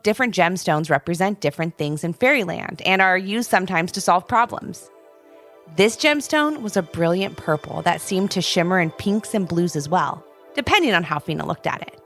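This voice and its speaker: A woman's voice: fairly low pitch, sing-song, brisk, a bit bright. A woman narrating a scripted children's story expressively, with dramatic emphasis. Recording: music under the voice. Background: music.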